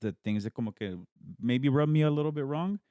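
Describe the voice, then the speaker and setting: A man's voice: mid-range voice, a little fast, warm tone, no echo. A young man co-hosting a casual podcast, joking and teasing, swearing freely and slipping between English and Spanish. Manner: joking, playful teasing, profane. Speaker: podcast co-host.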